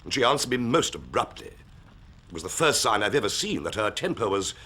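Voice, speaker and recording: An actor playing a haughty, touchy aristocrat with an upper-class English accent, pompous and clipped, in a period drama. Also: male voice, lowish voice, big pitch swings, brisk, pushed and bright, slight background noise. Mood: reserved, uneasy. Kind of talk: period detective drama acting.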